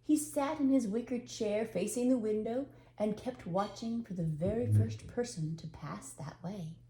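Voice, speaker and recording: A woman's voice: medium pitch, unhurried, mellow, reverberant, rough recording. An older woman narrating a storybook tale expressively as a storyteller.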